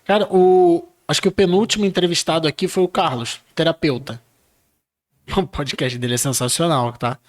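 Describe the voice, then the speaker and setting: A man's voice: a little high, fairly even intonation, normal speed. A man hosting a podcast who chats casually, asks questions and tosses in short remarks. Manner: chatty, short interjections. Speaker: podcast host.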